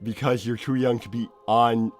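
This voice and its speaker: A man playing an awkward, exasperated cartoon animal inventor, co-hosting an in-character livestream in halting, repetitive chat. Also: medium pitch, fairly even intonation, faint music behind, close to an everyday voice. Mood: bitter, defensive. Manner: halting, stammering, repeats himself. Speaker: livestream co-host.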